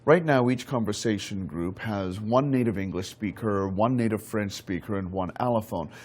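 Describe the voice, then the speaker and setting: A man in a scripted club discussion, explaining things in a calm way. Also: on the low side, moderate pace, husky. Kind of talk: scripted test dialogue.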